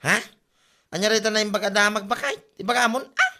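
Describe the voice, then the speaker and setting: A man playing an excitable, grumbling village husband in an Ilocano-accented comedy audio drama. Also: high voice, brisk. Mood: excited, incredulous.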